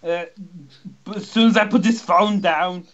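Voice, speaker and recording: A man's voice: sits high, fairly even intonation, a little slow, lo-fi sound. A man voicing an impatient male racing team boss in a jumbled mock European accent, in broken English that swears and stumbles. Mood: blustering. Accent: mixed mock European.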